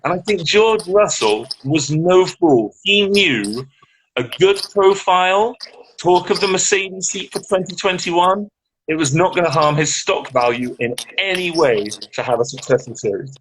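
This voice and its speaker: A man's voice: high-pitched, unhurried, a bit bright. A man co-hosting a casual live-stream chat over a video call, easygoing and chatty, on slightly crackly audio. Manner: chatty, easygoing, British turns of phrase. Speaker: co-host.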